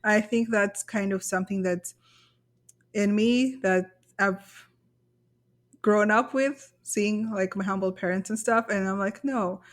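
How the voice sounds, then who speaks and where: A woman's voice: medium pitch, fairly even intonation, slow. A woman from Kenya, co-hosting a podcast in casual conversation, candid and self-deprecating about herself. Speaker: podcast co-host.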